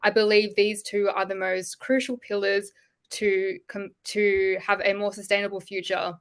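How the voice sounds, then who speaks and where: Steady, flat-voiced woman in her twenties. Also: medium pitch, moderate pace, smooth. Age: 20s. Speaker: pageant contestant. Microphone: video call.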